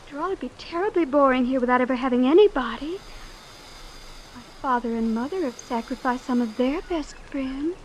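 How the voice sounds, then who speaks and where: A faintly noisy vintage radio recording has a young heiress with a high, girlish voice. She is airy, flighty and spoiled, pouting easily and sounding chillingly blithe and naive. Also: animated, brisk. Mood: blithe, defensive. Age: young adult. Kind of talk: old-time radio drama acting.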